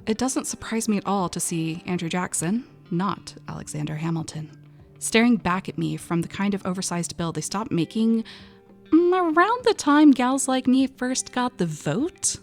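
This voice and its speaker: A woman performing dramatic first-person narration in an audio drama, expressive and breathy at tense moments, as a frightened young woman telling an eerie story. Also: medium pitch, moderate pace, faint music behind. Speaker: voice actor narrating a short story.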